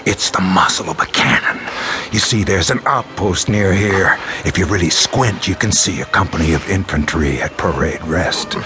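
A taunting American soldier in a radio drama. His voice sits very deep and he draws his words out slowly. The tone is folksy and falsely friendly, with menace underneath. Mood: mocking. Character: taunting, sardonic soldier guarding a condemned prisoner. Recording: music behind the voice.

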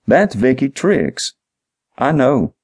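A man's voice: medium pitch, very animated, slow pace, darkish tone, slightly rough, pitched above the performer's own voice, full character voice. A man voicing a dim-witted, eager hotel night manager in his sixties, with folksy, literal speech in an audiobook story. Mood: dim, earnest.

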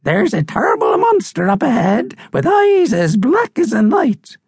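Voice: mid-range voice, huge pitch swings, measured pace, vocal fry, darkish tone, breathy edge, dry recording, clean studio sound, voice pushed up, full character voice. A storybook narrator voicing a crusty old female seabird who speaks with salty, ominous warning. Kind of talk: storybook character reading.

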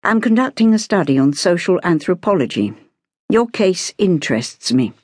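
A narrator playing an educated, patient gentleman academic in an audiobook, speaking in a measured, formal and precise way. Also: medium pitch, very animated, no room sound, clean studio sound. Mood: earnest.

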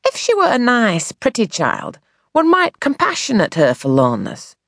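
A woman's voice: fairly high pitch, huge pitch swings, normal speed, a bit bright, no room sound, studio quality, voice pushed up, heavily acted. A woman voicing a cold, gossiping servant woman in a storybook reading, prim and disdainful.

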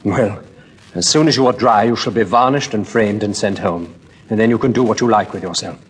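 A man's voice: lowish voice, a little fast, slight background noise, natural voice. A man voicing a proud, earnest painter in a storybook reading, speaking in a heated, earnest way. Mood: brisk.

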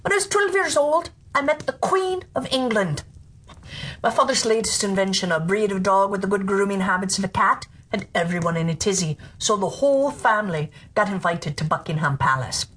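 A woman narrating children's stories in an expressive, deliberate and playful theatrical style. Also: medium pitch, slightly rough. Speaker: storyteller and audiobook narrator.

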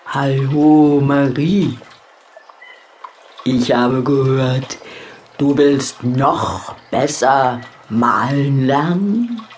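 Narrator doing a very slow, placid turtle. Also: medium pitch, darkish tone, slight background noise. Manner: drawn-out, placid. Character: unhurried, easygoing female turtle, calmly helpful.

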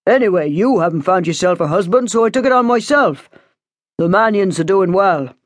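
A stern, middle-aged father as a woman narrator acts him out lays down the law in a curt, sharp tone. He is bossy and domineering, with lively swings of pitch, in a dry storybook recording. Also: normal speed, no echo, close to an everyday voice. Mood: angry, scornful.

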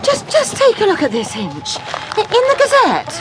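A woman's voice: extremely high pitch, huge pitch swings, moderate pace, loud music behind the voice, full character voice. An actress playing a timid, scatterbrained English countrywoman in a radio drama, dithery and repeating her words. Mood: excited, flustered. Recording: noisy outdoor farmyard ambience.

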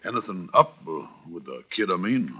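An actor playing a rough, soft-hearted miner in an old radio drama, husky, with a halting rustic drawl. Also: male voice, low-pitched, big pitch swings, heavily acted. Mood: sheepish, tender. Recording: dull vintage radio recording.

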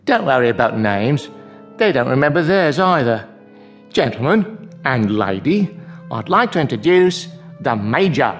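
An actor playing a sardonic clown in a radio drama, blithely resigned, with an arch, florid and mocking delivery. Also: male voice, medium pitch, big pitch swings, measured pace, soft background music. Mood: breezy, arch.

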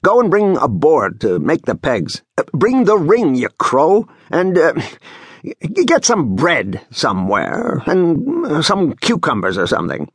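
A man voicing a lazy, bossy, excitable village man in a storybook, scolding, irritable and boastful. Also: on the high side, measured pace, breathy edge, no room sound, voice pushed up, heavily acted.

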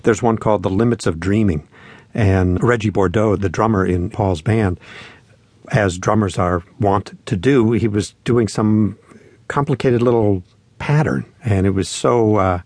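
A man's voice: lowish voice, unhurried, darkish tone, no echo. A man talking in a reflective, conversational storytelling way as a musician being interviewed, acting out quotes as he goes. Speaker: musician and spoken-word performer being interviewed.

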